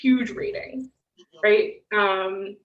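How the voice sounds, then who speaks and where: A woman's voice: medium pitch, drawn-out words. A woman giving an online lecture and taking questions, talking in a loose conversational way with frequent "um" and "right?" tags. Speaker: guest lecturer. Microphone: video call. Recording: distant, roomy, dull video-call audio.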